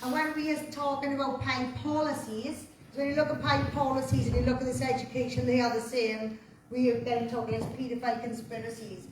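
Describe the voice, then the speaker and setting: Forceful plain-spoken woman, high voice, giving a talk in a big echoey hall, mic far away. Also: flat delivery, a little fast, background noise. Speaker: presenter. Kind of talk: public talk and Q&A. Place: chapel hall.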